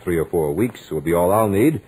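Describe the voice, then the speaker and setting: An actor playing a self-assured, studious young gentleman narrating his own tale in measured, literate 1940s radio drama style. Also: low-pitched, lively intonation, breathy edge, rough recording. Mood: composed, amused.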